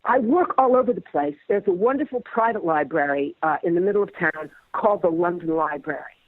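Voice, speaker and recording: A man's voice: high-pitched, lively intonation, a little fast, no room sound, narrow, tinny audio. A man speaking reflectively as an interviewed playwright, hesitant and repeating words as he searches for phrasing.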